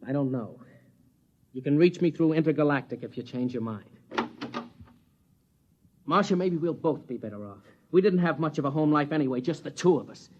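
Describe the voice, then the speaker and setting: An actor playing a proud, restless rocket pilot and husband, earnest and clipped, in 1950s radio drama style. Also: male voice, medium pitch, lightly acted. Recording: dull, faintly noisy old radio recording.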